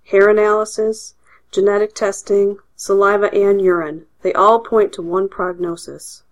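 A woman's voice: medium pitch, fairly even intonation, close to an everyday voice. A woman voicing a solemn, self-important male doctor. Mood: grave. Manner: solemn, measured, pompous. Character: grave, haughty male doctor.

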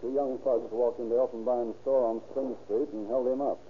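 An actor playing a businesslike, authoritative chief of detectives in a 1930s radio drama. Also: male voice, mid-range voice, flat delivery, fairly quick, natural voice. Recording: noisy, tinny old-time radio recording.

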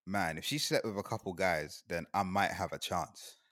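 A man's voice: low voice, normal speed, a bit bright, slight background noise. A young man from Guyana in casual chat, rambling and laughing often as he talks.

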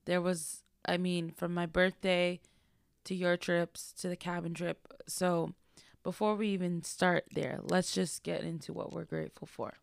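A young woman with a raspy, gravelly voice chats on her podcast in a playful, teasing way. Her voice sits a little low, and the recording is dry and close. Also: little pitch movement, a little slow, no echo. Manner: playful teasing, drops into slang. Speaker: podcast co-host. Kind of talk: casual chat.

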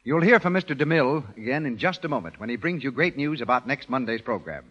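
A man's voice: mid-range voice, animated, quick pace, a bit bright, a little hiss or hum. An American man reading scripted announcements as a 1930s radio announcer. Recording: muffled vintage 1930s radio broadcast.

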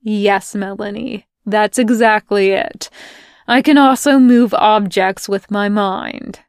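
A woman voicing a tired, sardonic young man in an audio fanfic reading, speaking in a dry, slow deadpan. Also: mid-range voice, clear, resonant tone, no room sound. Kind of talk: podfic character voice.

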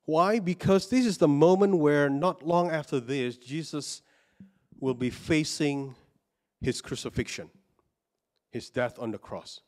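Hesitant earnest preacher from Indonesia. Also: male voice, a little high, a little slow, darkish tone. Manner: hesitant, many fillers and restarts, personal and earnest. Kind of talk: sermon.

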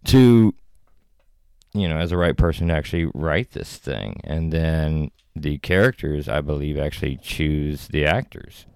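Deep, gravelly male guest with a laid-back, rambling delivery on a close, dry podcast mic. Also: a little slow, a little mellow, no echo. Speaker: actor and filmmaker guest. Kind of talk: casual podcast chat.

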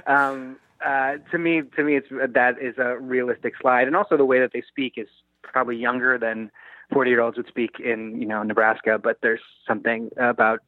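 A forty-year-old man talking casually and haltingly as a screenwriter taking feedback in a group discussion. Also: mid-range voice, level tone, no room sound, phone-quality sound. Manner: hesitant, halting. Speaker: screenwriter getting script feedback.

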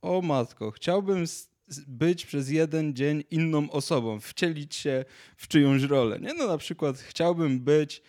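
Halting young man chatting casually. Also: fairly high pitch, darkish tone. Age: 20s. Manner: halting, repeats words and restarts often. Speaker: podcast co-host. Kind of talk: casual chat, anecdotes.

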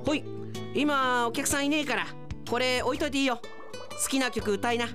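A man acting in a radio drama as a gruff, friendly izakaya owner with rough, colloquial speech and an encouraging, easygoing manner. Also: extremely high pitch, moderate pace, music in the background, pitched above the performer's own voice. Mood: casual, puzzled.

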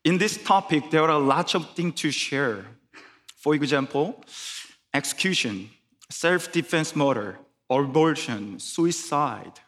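A man with a Korean accent preaches at an unhurried pace, his voice sitting a little higher than most men's. He picks his English words with care and sometimes starts a phrase over. A long church reverb rings behind him. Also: fairly bright tone. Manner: careful, halting English with restarts. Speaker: guest preacher. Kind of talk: sermon. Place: church sanctuary.

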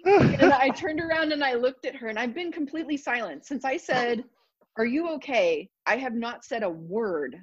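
A woman telling stories in a casual interview, animated, laughing often and filling with "like". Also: fairly high pitch, normal speed, husky, dry recording, muffled recording. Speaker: guest.

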